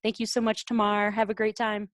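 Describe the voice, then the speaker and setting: A woman's voice: mid-range voice, monotone, brisk, dry recording, clean studio sound. A woman author and lettering teacher interviewing her contributors, encouraging and full of affirming replies. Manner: encouraging, warm praise with many affirming "mm-hmm"s. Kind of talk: casual chat.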